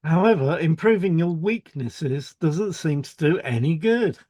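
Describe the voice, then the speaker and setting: A man's voice: a little high, animated, unhurried, a little mellow, dry recording. A man co-hosting a podcast, reading explanatory script and introducing topics with short conversational links. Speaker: podcast co-host, hypnotherapist.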